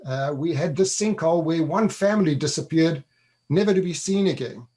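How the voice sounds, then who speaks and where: Upbeat man, high voice. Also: normal speed, dark tone. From South Africa. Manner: upbeat, conversational, leads the guest with questions. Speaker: webinar host. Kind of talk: webinar presentation.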